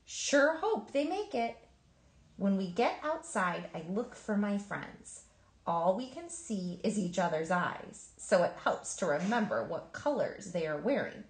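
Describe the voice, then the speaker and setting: A woman reading a children's picture book aloud in an expressive, emphatic storytelling manner. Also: medium pitch, measured pace, echoey room, low-quality recording. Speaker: storybook reader.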